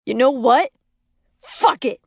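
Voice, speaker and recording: A woman's voice: on the high side, unhurried, dry recording, clean studio sound. Woman reading as an exasperated young husband. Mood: fed up. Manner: emphatic, exasperated. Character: exasperated young husband, pleading, then fed up. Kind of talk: acted audiobook dialogue.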